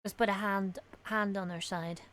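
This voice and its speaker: Woman with mid-pitched voice playing tabletop game. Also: fairly even intonation, normal speed, fairly bright tone, no echo. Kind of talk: casual table talk.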